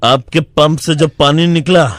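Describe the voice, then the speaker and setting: A man acts out an old film-star patriarch in a comic prank call. He grumbles in an aggrieved, drawn-out way, with a dry, close sound. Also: fairly high pitch, fairly even intonation, dry recording, close to an everyday voice. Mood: grumbling complaint. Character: grumbling elderly father of a film-star family.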